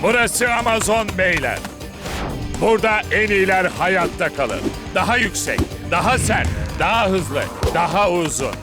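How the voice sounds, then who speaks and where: A man's voice: high-pitched, expressive, a little slow, music in the background. A man voicing a commanding, proud football coach in a cartoon, emphatic and instructive. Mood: rousing. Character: commanding, proud male football coach, demanding but fatherly. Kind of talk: cartoon dub acting.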